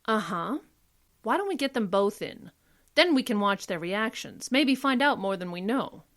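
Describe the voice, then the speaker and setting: An actress playing a confident, shrewd defense attorney in an old-time radio drama. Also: female voice, mid-range voice, lively intonation, moderate pace, fairly bright tone. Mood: composed, probing.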